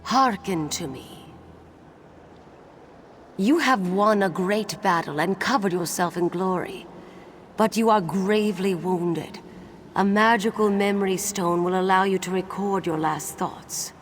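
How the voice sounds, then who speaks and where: A woman voicing the wistful spirit of a legendary warrior maiden in a fantasy game, telling her tale in a solemn, measured way. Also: mid-range voice, slight background noise, close to an everyday voice. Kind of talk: scripted fantasy game acting.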